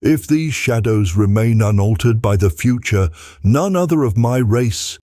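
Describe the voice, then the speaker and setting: A man playing a mellow, solemn Christmas spirit. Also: mid-range voice, animated, a little slow, dry recording, clean studio sound. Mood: stern mockery. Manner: stately, admonishing, declamatory. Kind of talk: audiobook dramatization.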